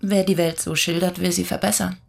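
A composed, guarded woman in a radio drama. Her voice is lowish and husky, and she answers with restraint, quietly standing her ground. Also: flat delivery, brisk. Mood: earnest. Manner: terse, restrained, unruffled replies. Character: composed, quietly defiant woman, guarded but tender-hearted. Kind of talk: German radio drama acting.

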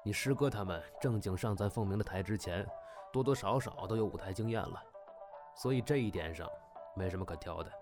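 A man playing a stern but fair crosstalk master, measured and instructive, in an audio drama. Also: on the low side, lightly acted.

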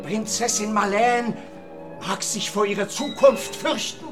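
Brooding high-voiced watchman. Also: a little slow, over music. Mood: foreboding. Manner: brooding, theatrical. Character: gloomy castle night watchman, pitying and suspicious. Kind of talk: German radio play acting.

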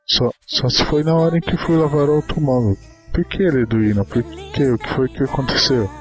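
A man's voice: medium pitch, normal speed, lightly acted. Guy doing an eager sweet orphan servant boy in an audio story, music behind him. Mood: anxious. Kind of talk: dramatic reading of a short story.

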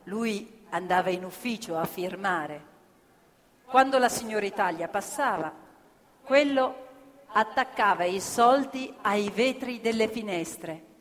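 A woman narrating scripted theatre as an actor, expressive and deliberate in her phrasing. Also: mid-range voice. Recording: reverberant hall with live music. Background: faint live music.

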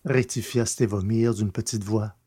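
A man's voice: lowish voice, fairly even intonation, moderate pace, mellow, breathy edge. A man reading scripted literary narration for a French science fiction audio drama.

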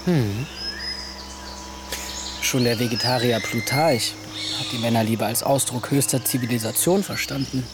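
Male actor as pompous fanatic, declamatory, halting pauses, mid-range voice, radio play, clear nature background noise. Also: medium pitch, close to an everyday voice. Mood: self-important, preaching. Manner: declamatory, self-important, hesitant pauses. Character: fanatical, lustful antisemitic man, preaching free love among men. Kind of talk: German radio play acting.

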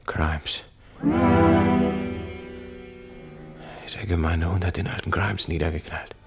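An actor playing an agitated, decisive milk bar owner who witnessed a crime, in an emphatic, colloquial German radio drama manner. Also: male voice, sits low, steady tone, normal speed, creaky, over loud music. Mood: shocked, bitter.

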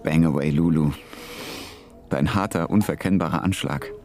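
Low-voiced, patient wartime code master. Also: male voice, moderate pace, gravelly, a little mellow, faint music behind. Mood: wistful, musing. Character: patient, wry British wartime code master training a young agent. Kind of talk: naturalistic German radio drama acting.

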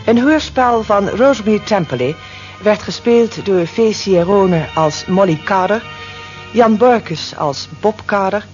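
A woman's voice: mid-range voice, animated, measured pace, darkish tone. A woman announcer reading scripted credits for a radio drama. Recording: muffled old radio recording, music behind.

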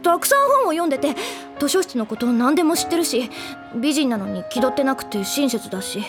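A woman's voice: high-pitched, lively intonation, brisk, over music. An actress playing a defensive, curt teenage schoolgirl in a drama CD. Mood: prickly.

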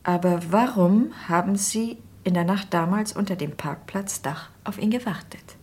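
An actress playing an anguished, probing doctor's wife in a German radio crime drama, speaking in a measured way. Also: female voice, medium pitch, a little mellow, husky, natural voice.

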